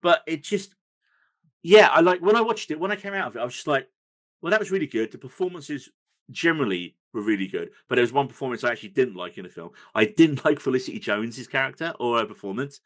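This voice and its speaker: A man's voice: medium pitch, lively intonation, fast talker. A man hosting a podcast, chatting about films in a rambling, enthusiastic way with plenty of fillers. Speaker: podcast host. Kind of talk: casual film review chat.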